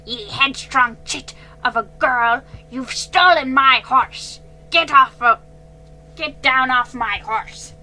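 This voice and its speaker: A woman voicing a gruff, mean old man who shouts and snarls at a family, in a children's storybook reading. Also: high voice, slow pace, slight background noise, voice pushed up, heavily acted, rough recording. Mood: angry shouting. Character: gruff, mean old male horse owner. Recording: faint music behind.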